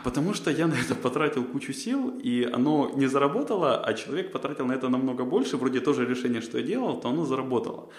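A man's voice: mid-range voice, animated, fast talker, few pauses, echoey room. A man, a podcast co-host, answering interview questions in a rambling way, with many fillers and restarts. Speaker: job candidate, podcast co-host.

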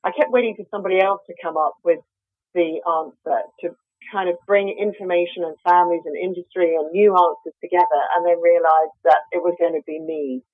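A woman's voice: fairly low pitch, fairly even intonation, a little fast, breathy edge, no echo. An Australian woman, a company founder, being interviewed and talking with many hesitant fillers. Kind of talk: interview over the phone. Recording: tinny phone line, dry.

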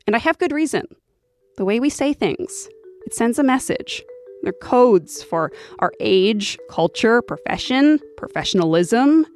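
Animated woman hosting a documentary podcast. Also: a little high, measured pace, faint music behind. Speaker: podcast host. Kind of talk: conversational scripted narration.

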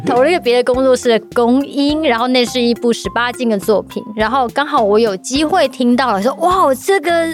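Woman, Taiwanese Mandarin accent, fairly high voice, casual chat, playful storyteller, faint music behind. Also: fairly quick, hardly any pauses. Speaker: podcast participant talking about BL audio drama dubbing.

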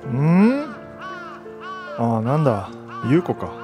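Man as sulky young male student, blunt, cynical, medium pitch, radio drama, soft guitar behind. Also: wildly swooping pitch, slow pace. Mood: sulky resigned. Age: young adult.

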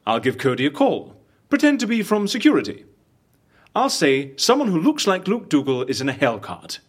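An actor playing a suave, businesslike and wry agency fixer in an audio drama, articulate and persuasive with a theatrical way of talking. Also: male voice, a little high, huge pitch swings, moderate pace, husky, heavily acted. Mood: brisk scheming.

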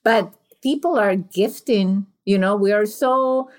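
Woman host, curious and reflective, talks in a middle pitch. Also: takes it slowly. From Venezuela. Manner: curious, reflective, restarts phrases. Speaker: podcast host. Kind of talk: conversational interview.

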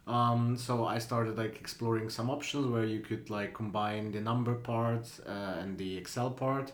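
A young man from Slovenia with a fairly low voice talks through his thoughts on a podcast. He hesitates and fills gaps as he goes, and the room around him echoes. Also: fairly even intonation, unhurried. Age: 20s. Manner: thoughtful, hesitant with many fillers. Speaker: guest student. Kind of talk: casual podcast interview.

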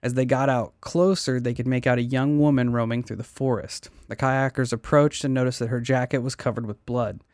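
A laid-back man hosting a casual podcast who swears freely and sometimes trips over hard names as he tells a mystery story. His voice sits at a middle pitch and is recorded close on a dry mic. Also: steady tone, fairly quick, hardly any pauses, darkish tone, no room sound. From United States. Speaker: podcast host.

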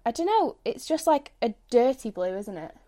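A woman's voice: on the high side, big pitch swings, moderate pace, dark tone. A woman giving easygoing, plain-spoken opinions as a guest on a casual podcast. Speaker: guest reviewer. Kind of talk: casual chat.

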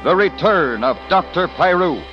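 A man's voice: sits high, expressive, normal speed, over loud music. A man with an American accent delivering a polished, salesmanlike scripted sponsor announcement in 1940s radio style. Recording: dull, echoing vintage radio recording.

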